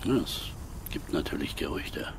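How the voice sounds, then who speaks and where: An actor playing a seasoned older police detective in a crime radio drama, speaking in a deliberate, thoughtful way. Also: male voice, very deep voice, expressive, gravelly, a little hiss or hum, full character voice. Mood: pensive, sober.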